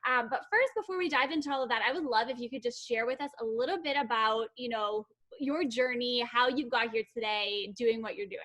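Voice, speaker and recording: A woman's voice: on the high side, brisk, few pauses, a bit bright. A young woman hosting a podcast, speaking with upbeat enthusiasm and laughing often. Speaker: podcast host. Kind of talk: podcast promo and interview.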